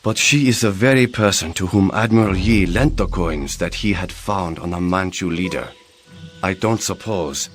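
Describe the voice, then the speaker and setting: Man acting as deep, stern Korean prime minister. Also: normal speed, natural voice. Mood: formal, questioning. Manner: authoritative, formal, solemn. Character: stern, commanding Korean prime minister of war-torn kingdom. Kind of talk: dramatic video game cutscene acting. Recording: game audio with music underneath.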